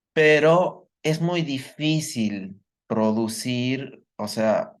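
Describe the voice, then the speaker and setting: A man giving a medical lecture, explaining step by step and checking that his students follow. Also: fairly low pitch. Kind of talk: live online workshop lecture.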